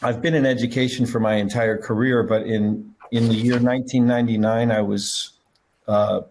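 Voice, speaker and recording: A middle-aged man, a school head, telling his story on a panel over a video call with many pauses and restarts. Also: medium pitch, flat delivery, darkish tone, a little hiss or hum. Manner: halting, many fillers and restarts. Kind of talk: panel talk.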